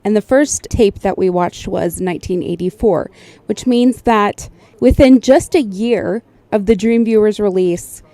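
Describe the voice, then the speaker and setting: A woman's voice: mid-range voice, a little slow, warm tone, no echo. A woman hosting casual, explanatory commentary in a conversational way, like a specialist breaking things down. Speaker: host, sleep technologist.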